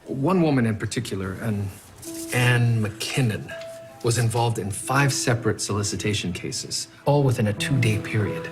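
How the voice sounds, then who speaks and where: An actor playing a methodical male police detective who questions people in a measured, probing way. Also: on the low side, natural voice. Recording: TV drama with music behind.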